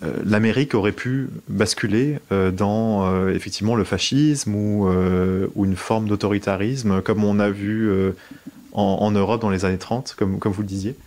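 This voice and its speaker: A man's voice: lowish voice, fairly even intonation, normal speed, a little mellow. A man interviewing a guest for a podcast, hesitantly building his questions piece by piece.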